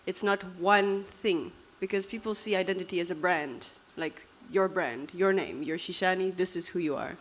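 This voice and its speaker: A woman's voice: lowish voice, normal speed, hardly any pauses, poor audio. A young woman from Namibia with a Dutch accent, giving a reflective, conversational personal talk with frequent fillers. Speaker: speaker.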